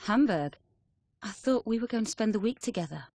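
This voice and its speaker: A woman's voice: mid-range voice, lively intonation, a little fast, a little mellow, no echo, studio quality. A woman playing a secret agent's lover in a video game cutscene, intimate and questioning. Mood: let down.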